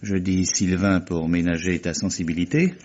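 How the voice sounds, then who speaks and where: An actor playing a cynical, calculating murderer with a cutting, sardonic manner in a radio mystery drama. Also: male voice, low voice, fairly even intonation, moderate pace, a little mellow. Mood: guarded, dry. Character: cynical, calculating murderer nephew taunting his guilty accomplice. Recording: muffled old radio drama recording.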